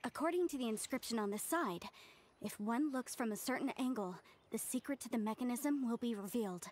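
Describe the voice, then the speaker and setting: Earnest, higher-voiced young female researcher. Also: lively intonation, a little fast, a little mellow. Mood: explaining. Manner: earnest, explanatory. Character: earnest young woman researcher of ancient ruins. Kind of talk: English video game voice acting.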